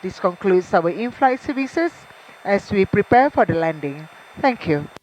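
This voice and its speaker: A woman's voice: mid-range voice, big pitch swings, a little slow, a little mellow, low-quality recording. A woman with a Malaysian accent reading scripted cabin announcements as a flight attendant.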